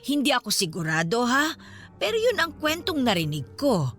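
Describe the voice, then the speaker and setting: An elderly woman caretaker in a Filipino radio drama. She is modest, folksy and chatty, with a pitch that swings about as she exclaims. Faint music runs underneath. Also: huge pitch swings, normal speed, fairly bright tone. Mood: chatty, obliging. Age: older.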